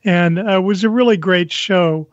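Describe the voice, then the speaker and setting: A man's voice: high-pitched, moderate pace, no room sound, dull audio. An older man answering questions as a podcast guest in a conversational style. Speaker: podcast guest, composer and musician.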